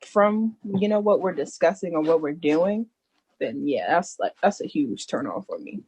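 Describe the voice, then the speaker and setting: A woman's voice: low voice, breathy edge, poor audio. A young woman with a stuffy cold, chatting casually on a podcast and filling her talk with "like" and "you know.". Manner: talks slightly oddly with retainers in; halting, full of "like, you know".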